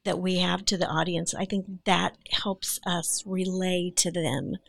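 A woman's voice: on the low side, level tone, measured pace, gravelly, dry recording, studio quality. A woman speaking as an actress guest in a casual, conversational interview.

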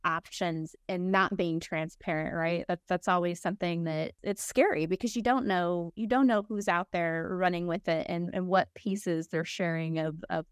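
A woman's voice: fairly low pitch, fairly even intonation, normal speed, few pauses, clean tone, no room sound, clean studio sound. A woman hosting a podcast interview over a video call. She is appreciative and talks casually, with fillers and restarts. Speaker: podcast host.

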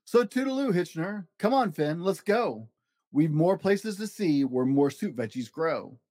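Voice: mid-range voice, animated, measured pace, dark tone, no echo, lightly acted. A reader voicing an exuberant, eccentric man who pilots a balloon in a rhyming children's story, theatrical and full of exclamations. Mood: cheerful, brisk. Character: exuberant eccentric male balloon pilot, chief soup supervisor.

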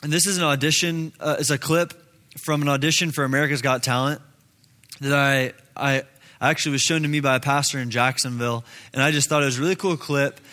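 A man's voice: medium pitch, fairly even intonation, fast talker, sharp tone. A man giving a casual sermon in an informal, conversational and earnest manner. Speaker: preacher.

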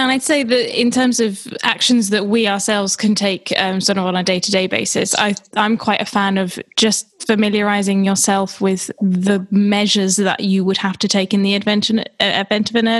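A woman's voice: medium pitch, little pitch movement, normal speed. A woman on a discussion panel giving practical advice while thinking aloud.

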